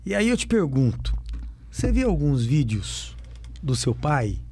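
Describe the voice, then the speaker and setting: A man's voice: fairly high pitch, sing-song, measured pace, darkish tone, husky, dry recording. A man interviewing a young footballer, asking emphatic questions and repeating them for effect. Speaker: sports radio interviewer.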